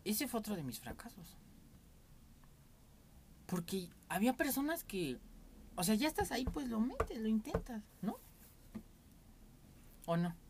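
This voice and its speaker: A man's voice: sits high, huge pitch swings, unhurried, long pauses, slight background noise. A young Mexican man with a Mexican accent, telling candid stories in a casual, rambling podcast chat as its host.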